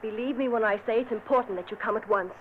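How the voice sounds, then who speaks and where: A woman's voice: a little high, quick pace, close to an everyday voice. An actress playing a fearful young noblewoman with urgent, anxious delivery, in vintage radio drama style. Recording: muffled old radio recording with loud hiss.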